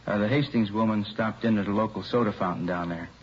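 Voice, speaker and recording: Low, understated radio police lieutenant played by a man. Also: fast talker, close to an everyday voice. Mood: businesslike. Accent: American. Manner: casual, understated, businesslike. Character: plain-spoken, practical male police lieutenant. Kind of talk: 1950s radio drama acting, naturalistic underplayed dialogue. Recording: muffled, faintly noisy old radio recording.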